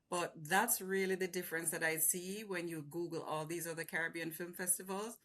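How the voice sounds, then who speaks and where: A woman's voice: fairly low pitch, a bit bright. A woman with a Jamaican accent, chatting effusively as a film programmer over a video call, laughing often. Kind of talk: casual video-call chat.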